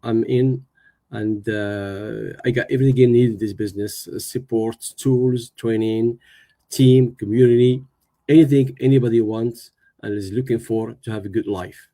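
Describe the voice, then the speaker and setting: Guest speaker: man, middle-aged, Moroccan accent, dark mellow tone, halting testimonial delivery. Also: medium pitch, fairly even intonation. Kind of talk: personal testimonial on a livestream.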